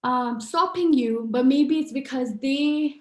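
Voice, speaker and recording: Woman in her twenties, author talk, earnest, restarts often, higher voice, echoey room. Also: takes it slowly. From Pakistan. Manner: earnest, many fillers and restarts. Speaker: author and artist. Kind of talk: speaking at a book event.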